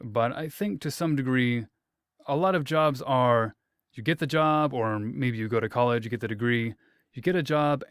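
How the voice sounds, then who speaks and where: A man's voice: medium pitch, smooth, dry recording, clean studio sound. A man hosting a casual interview, thoughtful and wordy, often repeating himself and making light jokes at his own expense. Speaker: interviewer, host.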